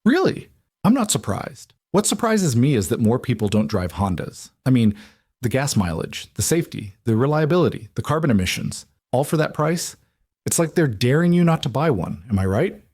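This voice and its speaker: A man's voice: mid-range voice, very animated, normal speed, darkish tone, slightly rough, no room sound, studio quality, voice pushed up. A man voicing a goofy, chatty male office neighbor who rambles and cracks jokes. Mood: jokey.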